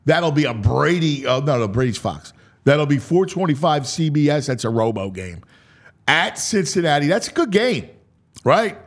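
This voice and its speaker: A man's voice: fairly high pitch, very animated, normal speed. An American man hosting a sports talk show, breaking down a schedule with theatrical, announcer-like proclamations and casual asides. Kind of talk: dramatic, animated schedule breakdown.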